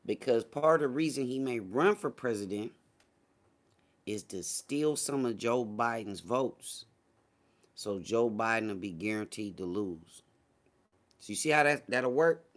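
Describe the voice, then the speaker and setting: A man with a mid-pitched voice hosts a casual livestream. He talks straight to his chat in loose, slangy language with some swearing. He leans on key phrases and repeats them to drive his points home. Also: lively intonation, unhurried. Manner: slangy, profane, conversational; emphatic, repeats phrases for effect. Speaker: livestream host. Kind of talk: casual livestream political commentary, addressing a live chat.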